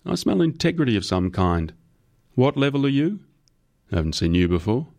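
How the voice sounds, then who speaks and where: A man's voice: mid-range voice, sing-song, moderate pace, gravelly, darkish tone, voice pushed up. A man voicing a powerful, jovial company boss in an audiobook, blunt, emphatic and forthright. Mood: curious, approving.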